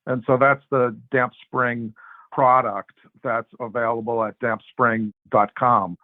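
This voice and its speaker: A man speaking as a podcast guest, explaining financial markets in a measured, conversational way, in short phrases. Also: medium pitch, flat delivery, no room sound. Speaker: interview guest, macro research strategist and investment adviser. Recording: narrow phone-like sound, dry.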